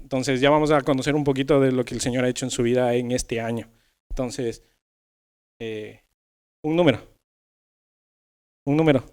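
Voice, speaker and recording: A man's voice: mid-range voice, fairly even intonation, normal speed, pauses often, darkish tone, smooth. A man hosting a church youth podcast in a conversational style. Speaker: podcast host.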